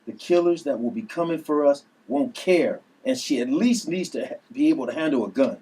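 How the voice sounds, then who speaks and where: A man's voice: medium pitch, expressive, fairly quick, dark tone, slightly rough, low-quality recording. A man at a table read playing an earnest uncle, a recovering addict who now trains his nieces to fight. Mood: calm, firm.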